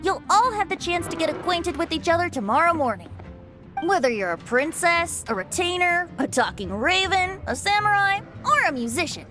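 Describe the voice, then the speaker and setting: A boyish young bard from a video game, breezy and teasing. The voice is high and theatrical, lilting up and down over background music. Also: female voice, very animated, moderate pace, bright, forceful tone, heavily acted. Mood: breezy, reassuring. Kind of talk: video game character acting.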